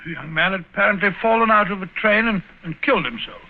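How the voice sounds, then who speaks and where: High-voiced fussy old English doctor. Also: male voice, fast talker. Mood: conversational, recalling. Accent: British English. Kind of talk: 1940s radio acting. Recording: noisy, phone-like 1940s radio recording.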